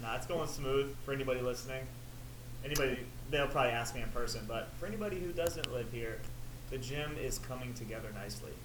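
A man's voice: medium pitch, fast, crowd noise. Chatty man in an echoey kitchen. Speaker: podcast host, gym owner. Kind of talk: casual podcast chat. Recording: echoey room, faint noise.

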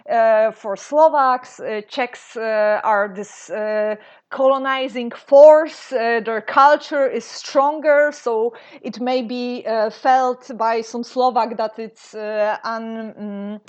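Woman, Polish accent, thirties, hesitant, lots of fillers, fairly high pitch. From Poland. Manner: hesitant, many fillers and restarts. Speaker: guest. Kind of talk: interview conversation. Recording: dull lo-fi sound.

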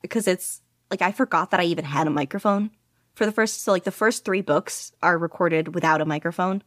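A woman's voice: fairly low pitch, a little fast, fairly bright tone, no room sound, studio quality. A woman guest chatting casually in an interview, rambling with lots of fillers and frequent giggles. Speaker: podfic reader and guest.

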